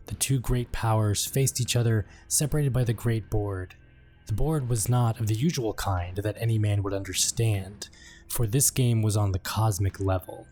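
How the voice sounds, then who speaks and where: A man giving a solemn, portentous scripted narration of dark fantasy lore. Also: lowish voice. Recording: background music under the voice.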